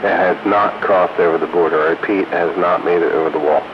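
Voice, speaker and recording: American male radio hobbyist, very low voice, conversational, dull transmission with clear static hiss. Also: fairly even intonation, fast talker. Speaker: radio hobbyist in a group chat. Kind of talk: casual two-way radio chat. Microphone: two-way radio, recorded from a receiver stream. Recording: hissy, muffled CB radio transmission.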